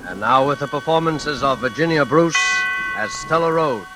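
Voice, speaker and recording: A man announcing a 1940s radio suspense show in a formal, ominous manner, with deliberate dramatic pauses. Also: medium pitch, lively intonation, rough recording. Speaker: announcer and host. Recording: old radio recording, loud music behind.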